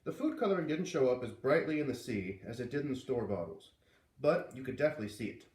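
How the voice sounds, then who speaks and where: Man reading a children's book aloud. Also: quick pace. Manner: lively, voices characters with big pitch jumps. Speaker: reader reading aloud to kids. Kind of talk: children's book read-aloud with character voices. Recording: roomy, distant from the mic.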